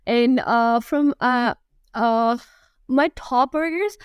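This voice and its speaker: A woman in her thirties with a Pakistani accent, chatting casually as an interview guest in a halting way, repeating phrases for emphasis. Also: on the high side, smooth, no room sound, clean studio sound. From Karachi, Pakistan. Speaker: guest, food and lifestyle blogger.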